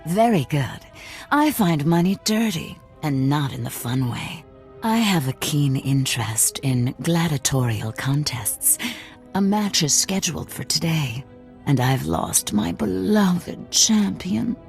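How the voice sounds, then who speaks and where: A woman playing a languid, sadistic crime boss in a video game, with a purring, teasing, drawn-out delivery that drops into whispers. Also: deep voice, expressive, gravelly, a little mellow, faint music behind, exaggerated voice. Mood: teasing, intrigued. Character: sadistic, languid crime boss lady who savors cruelty.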